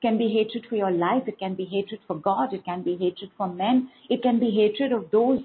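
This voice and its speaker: A woman wellness coach leads a motivational talk and leans hard on key words as she stacks them in rhythmic lists. Her voice comes through thin and tinny, as if over a phone line, and sits at an ordinary middle pitch. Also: moderate pace, a little hiss or hum.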